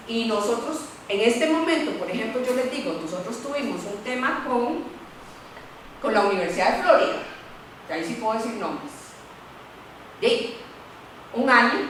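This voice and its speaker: A woman lawyer speaks candidly at a panel, tagging her points with quick questions. Her voice sits a little high and rings through a very echoey lecture room. She is heard from a distance over faint background hiss. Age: middle-aged. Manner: animated, candid, many "¿verdad?" tags. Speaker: university lawyer. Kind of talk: panel talk.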